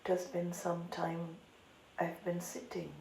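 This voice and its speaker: Female meditation guide: calm, unhurried, slightly low and creaky, pausing often, roomy recording. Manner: calm, deliberate, long pauses between phrases. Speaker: meditation teacher. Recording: roomy echo, light background noise.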